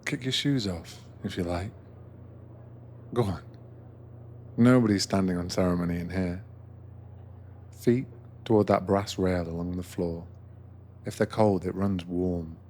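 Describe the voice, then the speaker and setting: A man narrating a soothing ASMR guided meditation as an attentive sleeper train attendant, gentle and unhurried. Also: low voice, slight background noise.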